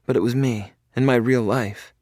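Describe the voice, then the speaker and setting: A man's voice: medium pitch, fairly even intonation, normal speed, a little mellow, no echo, clean studio sound, voice pushed up, close to an everyday voice. A man voicing a frail, sick young woman who is tearful and pleading.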